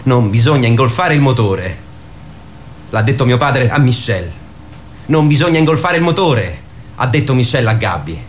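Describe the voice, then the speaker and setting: A restless, frustrated teenage lad in a radio play, acted by a man. He rambles on insistently in slang, at a middling pitch, set on getting away. The recording carries some light noise and ambience. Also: lively intonation, moderate pace, long pauses, lightly acted. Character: talkative restless teenage boy, frustrated, eager to escape. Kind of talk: radio theatre acting.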